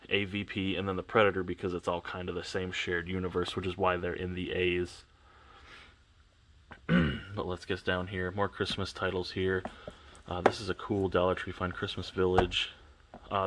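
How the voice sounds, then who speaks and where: A man with a deep, low voice and a congested, sniffly sound, rambling through a casual tour of his home shelves. He speaks in a flat, even tone and often stops to correct himself. He is recorded on a camera on a tripod. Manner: rambling, offhand, self-correcting. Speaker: collector vlogger. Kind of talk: casual walkthrough of his collection.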